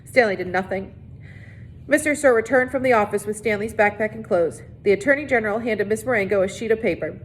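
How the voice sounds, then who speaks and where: A woman reading a children's novel aloud as narrator. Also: fairly quick. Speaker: reader. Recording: noisy recording with low rumble.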